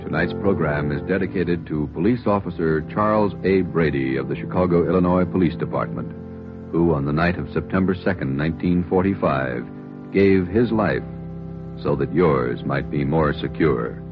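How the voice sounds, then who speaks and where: A male announcer reading in grave, measured phrases, in a scripted 1940s radio style. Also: a bass voice, over music. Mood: solemn. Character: solemn, weighty male radio narrator. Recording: muffled old radio broadcast.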